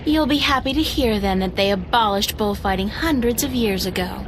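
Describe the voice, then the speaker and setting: A woman's voice: mid-range voice, moderate pace, noisy background, close to an everyday voice. An actress playing a polite, curious young woman adventurer asking questions.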